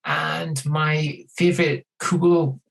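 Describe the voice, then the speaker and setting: A man from England introducing himself calmly on a video call. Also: fairly high pitch, takes it slowly, creaky.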